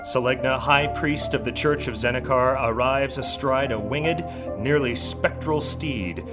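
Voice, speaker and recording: A man's voice: medium pitch, a little slow. Male fantasy narrator over music. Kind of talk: dramatic scripted fantasy narration.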